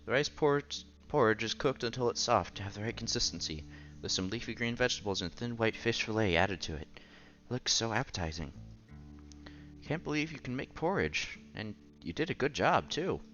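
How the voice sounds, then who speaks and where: A man reading a visual novel aloud as a streamer, with playful, casual asides and quiet game music behind him. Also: medium pitch, animated, normal speed. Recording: slightly dull, faint game music behind.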